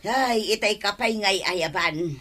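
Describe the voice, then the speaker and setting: An actress playing a bossy, nagging village woman in a radio comedy drama, scolding in drawn-out exclamations with an Ilocano accent. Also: mid-range voice, pushed and bright.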